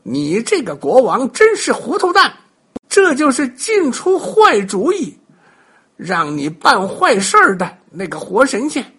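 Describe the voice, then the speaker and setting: A man doing the Monkey King, shrill and scolding. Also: wildly swooping pitch, a little slow, slightly rough, full character voice. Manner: loud, scolding, high-pitched. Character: clever monkey king, bold and mocking.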